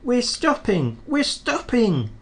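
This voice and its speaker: A man voicing a small, proud and impatient male tank engine in a storybook reading, raising his pitch high. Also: huge pitch swings, slow pace, exaggerated voice. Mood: excited. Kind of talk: storybook character voice.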